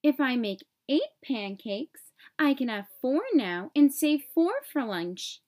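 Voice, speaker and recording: A woman's voice: sits high, sing-song, slow pace, a little mellow, exaggerated voice. A woman voicing a fussy, easily exasperated man in a storybook, speaking in a precise, exclamatory way. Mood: eager, precise. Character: fussy, easily exasperated man who loves even numbers. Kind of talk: storybook character voice.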